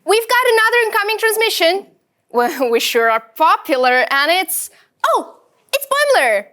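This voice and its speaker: Woman as a shy eager space ensign girl, super high and swoopy, awkward. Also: sing-song, moderate pace, pushed and bright, full character voice. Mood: worried, alert. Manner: hesitant, awkward. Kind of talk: animated sci-fi script table read.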